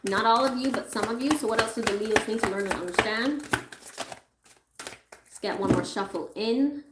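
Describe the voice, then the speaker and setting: A woman reading tarot cards for her viewers in a chatty, reassuring way. She is losing her voice and keeps clearing her throat. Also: on the high side, normal speed, reverberant, very noisy. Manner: chatty, reassuring, thinks aloud while pulling cards. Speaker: tarot reader.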